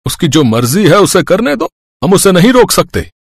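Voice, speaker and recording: A man's voice: high voice, sing-song, moderate pace, creaky, breathy edge, dry recording, clean studio sound, voice pushed up, heavily acted. A man voicing a resigned, fair-minded elderly father-in-law in a cartoon story, remonstrating. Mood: exasperated.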